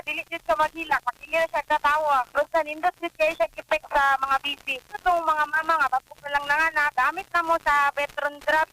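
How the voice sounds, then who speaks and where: A woman with a very high, bright and sharp voice gives an unscripted testimonial. She comes through a telephone line aired on radio. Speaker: customer giving a testimonial. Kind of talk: spontaneous spoken testimonial.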